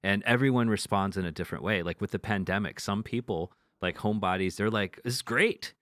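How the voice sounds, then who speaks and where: Deep-voiced man chatting frankly. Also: fairly quick, a bit bright, dry recording, clean studio sound. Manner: frank, swears casually, restarts a lot. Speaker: podcast co-host. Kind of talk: casual podcast chat.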